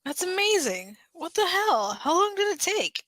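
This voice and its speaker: A woman playing an excitable, nervous teenage boy with a dramatic, incredulous manner in an amateur audio drama. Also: very high-pitched, unhurried, no echo, heavily acted. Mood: awestruck.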